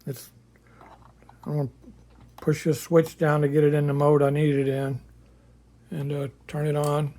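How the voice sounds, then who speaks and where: A man with a slightly high-set voice and very flat intonation talks through a homemade project. He is casual and unscripted, matter-of-fact, and a little halting as he explains. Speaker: hobbyist builder presenting a project.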